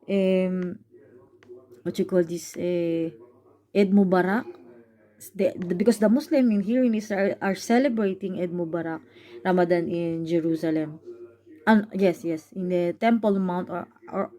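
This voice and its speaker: A caregiver and vlogger from the Philippines, a woman with a Filipino accent, whose native language is Tagalog, explains events in a halting way, often pausing with fillers to search for words. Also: medium pitch, dark tone. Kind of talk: casual vlog explanation.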